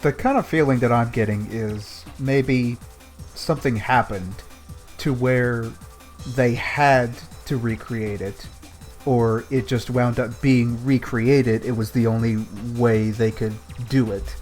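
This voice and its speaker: A man with a mid-pitched voice talks casually as a podcast panelist. He reasons aloud in a measured way, and music plays faintly behind him. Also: fairly even intonation, a little mellow. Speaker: book club podcast panelist. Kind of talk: casual discussion of a video game story. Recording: music playing under the talk. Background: background music.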